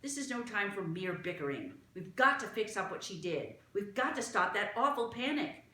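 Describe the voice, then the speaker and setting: A woman's voice: mid-range voice, very animated, normal speed, sharp tone, pitched above the performer's own voice. A woman voicing a bossy, dramatic young boy who speaks in a pompous, worked-up way. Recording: roomy echo.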